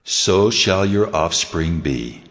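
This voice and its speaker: A man's voice: sits low, lively intonation, slow pace, fairly bright tone, exaggerated voice. An actor playing God in a dramatized Bible reading, a solemn and commanding male divine voice making declarations. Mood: solemn, assuring. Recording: long cavernous reverb.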